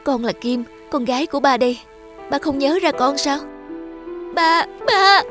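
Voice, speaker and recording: A woman's voice: sits high, expressive, measured pace, over music. A woman playing an earnest, tender-hearted daughter in a cartoon drama, speaking with pleading emotion. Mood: anxious pleading. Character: earnest, tender-hearted daughter longing for her lost father.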